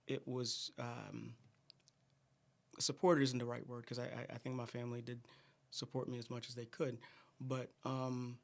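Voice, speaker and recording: A middle-aged man from Guyana, a singer, chatting in an interview in a soft, halting way with many fillers. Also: medium pitch, completely flat delivery. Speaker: guest singer. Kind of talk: casual interview chat.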